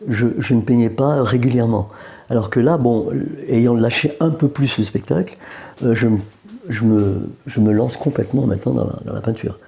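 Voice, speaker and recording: Algerian-born guy talking about his paintings in a gallery, gravelly, dark warm tone, stops and restarts a lot. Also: medium pitch, moderate pace. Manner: hesitant, repeats words, joking asides. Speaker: painter interviewed as guest. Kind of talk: casual interview. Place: art gallery room.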